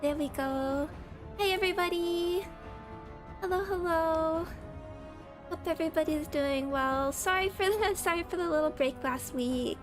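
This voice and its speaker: A woman's voice: very high voice, unhurried, over music. A young woman streaming a game and chatting casually with viewers, a little scratchy from a cold, often laughing. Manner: chatty, giggly, greets viewers by name. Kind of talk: casual live-stream chat while gaming.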